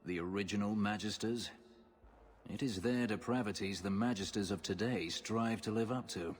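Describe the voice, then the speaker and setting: A man plays a bitter, righteous warrior in a fantasy game. His voice is fairly low and he speaks intensely, with indignation. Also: moderate pace, lightly acted. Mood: indignant. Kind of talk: video game character acting.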